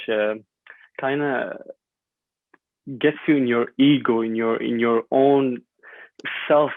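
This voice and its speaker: A man in his twenties from Syria, an Arabic speaker talking in English, answers in a halting, thoughtful way. His pitch barely moves. The sound is thin and phone-like, with no room echo at all. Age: 20s. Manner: thoughtful, halting, many fillers and repeats. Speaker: guest. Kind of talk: podcast interview. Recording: dry tinny phone-like line.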